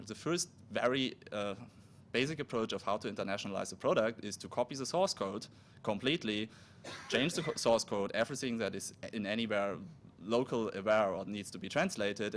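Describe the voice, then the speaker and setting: A man whose first language is German gives a technical talk at a conference in a fairly low voice. He speaks haltingly, breaking off words and starting over. A faint rumble sits under the recording. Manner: halting, with restarts and self-corrections. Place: conference room.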